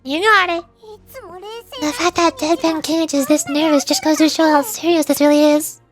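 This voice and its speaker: A woman streams a video game as a vtuber. Her voice is high and she talks in a playful, expressive way. Music plays faintly behind her. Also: moderate pace. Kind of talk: game livestream commentary, reading game text aloud.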